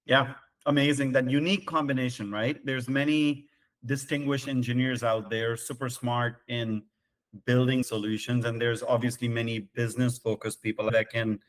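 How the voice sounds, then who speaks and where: A man's voice: mid-range voice, level tone, normal speed, poor audio. A man hosting a podcast interview, welcoming his guest in an upbeat, enthusiastic way. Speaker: podcast host.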